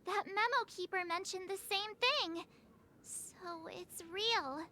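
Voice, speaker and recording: A tiny squeaky character. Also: female voice, takes it slowly, bright, forceful tone, a little hiss or hum, heavily acted. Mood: wondering.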